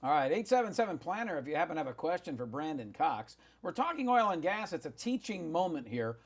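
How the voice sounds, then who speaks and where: Semi-retired male radio host, high-ish voice, brisk and pushy with questions. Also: very animated, husky. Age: older. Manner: brisk, pushes guest with time limits. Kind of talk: talk radio interview.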